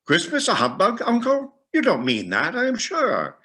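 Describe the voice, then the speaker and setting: A warm-hearted young gentleman from a Victorian storybook, played by a male reader. His voice is pitched high and rises and falls freely. He is cheerful, earnest and exclamatory, pleading his case with good will. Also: huge pitch swings, normal speed, husky, heavily acted. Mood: bright, pleading.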